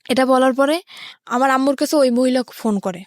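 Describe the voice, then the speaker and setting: Young bangladeshi woman telling her life story on a show, highish voice, dry sound. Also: steady tone, brisk, a little mellow, dry recording. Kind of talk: personal storytelling in an interview.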